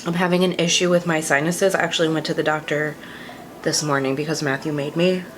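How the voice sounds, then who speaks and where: A woman's voice: low-pitched, fairly even intonation, a little fast, slight background noise. A young adult woman vlogger chatting casually through a makeup tutorial, a bit congested and sniffly, talking in a confiding, self-deprecating way. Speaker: beauty vlogger.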